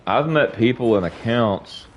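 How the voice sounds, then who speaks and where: A man's voice: medium pitch, expressive, a little slow, slight background noise, rough recording. A young man streaming while gaming, ranting casually to his chat. He rambles, repeats himself, says bro a lot, and sounds sniffly from allergies.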